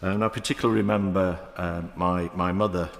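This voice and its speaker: A man in his fifties with a Manchester accent, giving a halting parliamentary debate speech with many fillers and restarts. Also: low-pitched, fairly even intonation, vocal fry, fairly bright tone. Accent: Mancunian. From England. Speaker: member of the House of Lords. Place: large echoing debating chamber. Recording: roomy chamber with long reverb.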